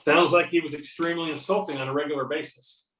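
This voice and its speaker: Quick, echoey man playing an investigator. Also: fairly high pitch, steady tone, a bit bright, lightly acted. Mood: inquiring. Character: male investigator questioning a witness, businesslike. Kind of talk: amateur readers theater reading. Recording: roomy, echoing sound.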